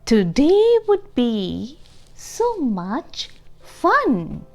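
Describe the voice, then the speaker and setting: A woman reading a picture book aloud for young children in an expressive, deliberate way, stressing key words and adding explanatory asides and questions. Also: fairly high pitch. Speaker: children's storybook reader.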